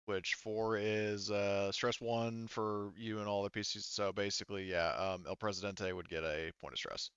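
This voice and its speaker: A man running a tabletop roleplaying game as game master, improvising scenes and rules in a halting, stop-and-start way. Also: on the low side, steady tone, fairly bright tone, clear, resonant tone, no room sound, lo-fi sound.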